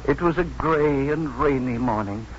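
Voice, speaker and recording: An actor playing a meek, simple middle-aged manservant in 1950s radio drama, speaking haltingly and earnestly. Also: male voice, medium pitch, lightly acted. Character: meek, dull-witted, soft-hearted middle-aged manservant, once hanged. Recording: dull, noisy 1950s radio recording.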